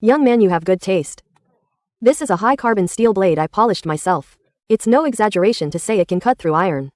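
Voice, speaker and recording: A woman's voice: medium pitch, expressive, fairly quick, darkish tone, no echo, lightly acted. Woman voicing a boastful blade vendor, dry sound. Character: friendly street blade vendor, boastful salesman.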